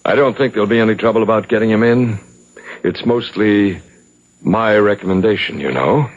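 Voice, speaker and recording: An actor playing a smooth, formal, guarded club man in a 1970s radio drama. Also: on the low side, normal speed, lo-fi sound, natural voice. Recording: 1970s radio broadcast recording.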